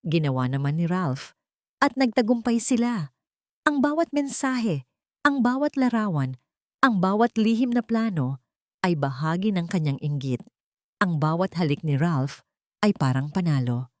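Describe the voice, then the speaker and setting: A woman's voice: on the low side, big pitch swings, measured pace, long pauses, a little mellow, no echo, clean studio sound. A woman reading a scripted dramatic story as an audiobook narrator.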